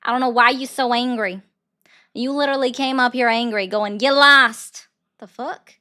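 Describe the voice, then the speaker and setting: A woman with a fairly high voice runs a call-in livestream. She talks bluntly and argues hard with her callers. She is quick to mock them. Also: steady tone, bright, forceful tone. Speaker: livestream host taking callers.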